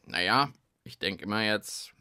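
A man co-hosting a children's science podcast in playful, unscripted banter, musing out loud as he goes. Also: lowish voice, expressive, unhurried, clean tone.

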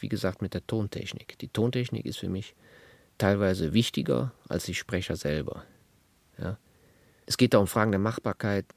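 A man who directs radio drama, giving reflective interview statements in deliberate, articulate, formal wording. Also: deep voice, gravelly, no room sound.

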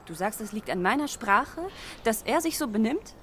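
A hot-tempered young traveller in a radio drama. Her voice is bright and forceful, with a blunt, intimate directness. There is a faint background noise. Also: on the high side, very animated, moderate pace. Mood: defensive. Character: defiant, hot-tempered young Polish-speaking woman traveller. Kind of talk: naturalistic German radio drama acting.

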